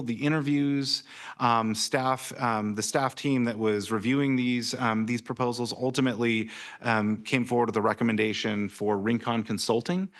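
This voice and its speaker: Halting man presenting to council. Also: mid-range voice, a bit bright. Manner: halting, many ums and restarts. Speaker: city planning staff. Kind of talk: staff presentation at council meeting.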